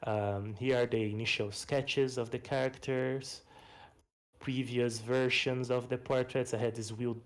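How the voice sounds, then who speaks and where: A man whose first language is Portuguese talks through his game in a casual voice-chat presentation. His voice sits at an ordinary middle pitch. He speaks loosely, tacks "you know" onto his sentences, and laughs at himself now and then. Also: little pitch movement, measured pace. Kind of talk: casual post-mortem presentation. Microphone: voice chat microphone.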